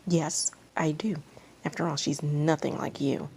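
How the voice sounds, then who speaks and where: An excitable man who schemes and coaxes as played by a woman reader talks urgently in a mellow, slightly creaky voice. It sounds like a storybook manager. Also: sits low, unhurried, a little hiss or hum. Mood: defiant. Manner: urgent, coaxing.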